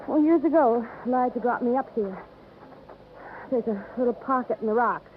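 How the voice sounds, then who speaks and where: Thin old radio sound frames an actress playing a young American woman, earnest and determined. Her voice is fairly high and urgent, in 1950s radio drama style, over faint noise. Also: moderate pace, natural voice. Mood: earnest, pleading. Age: young adult. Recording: tinny, faintly noisy vintage radio.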